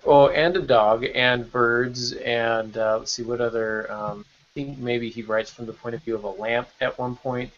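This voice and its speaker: A man's voice: medium pitch, fairly even intonation, normal speed, a bit bright. A man hosting a casual podcast panel chat in a joking, rambling way over an online call. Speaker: podcast host. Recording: dull lo-fi online call audio.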